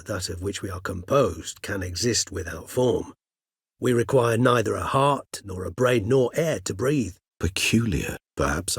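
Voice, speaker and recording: An actor playing an erudite, courteous older male vampire in a video game, speaking in formal, eloquent, measured phrases with a husky voice. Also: low voice, a bit bright, dry recording, clean studio sound, full character voice. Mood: musing, calm.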